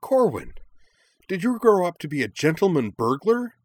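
An exuberant young woman racer from an audiobook, as performed by a male narrator. The voice sits well above a man's usual talk and gushes with playful, theatrical excitement. The sound is dry and close. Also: medium pitch, normal speed, dry recording, voice pushed up. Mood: gleeful excitement.

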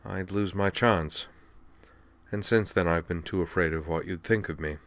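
A man's voice: deep voice, a little slow, a bit bright, smooth. A man voicing an anxious, self-doubting Earthman in a science fiction audiobook, earnest, hesitant and subdued. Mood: anxious, ashamed.

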